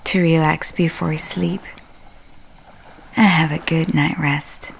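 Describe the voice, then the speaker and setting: Casting: female meditation guide, low and very even, soft half-whispered soothing delivery, long pauses between phrases. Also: vocal fry, slight background noise, poor audio.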